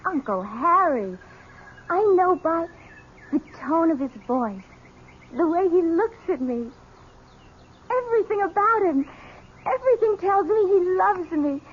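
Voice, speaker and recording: Intense young woman, very high voice, swooping delivery, theatrical radio drama, headstrong, lovestruck, dull noisy old recording. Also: lively intonation, measured pace, long pauses. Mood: lovestruck, defensive. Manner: theatrical, impassioned. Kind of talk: 1970s radio drama acting.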